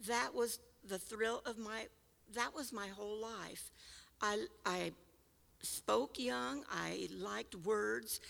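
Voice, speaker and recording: An older woman from California with a raspy voice, unhurriedly telling a personal story about her childhood church. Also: mid-range voice, fairly bright tone, big-room sound. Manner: unhurried, reflective, with small restarts. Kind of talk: personal reminiscence.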